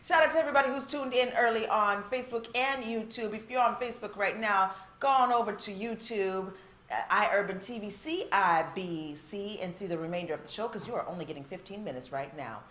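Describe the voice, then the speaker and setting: A woman's voice: medium pitch, fairly quick, fairly bright tone, echoey room. A woman co-hosting a morning show, chatting playfully and laughing along. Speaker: co-host. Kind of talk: casual studio banter. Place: broadcast studio.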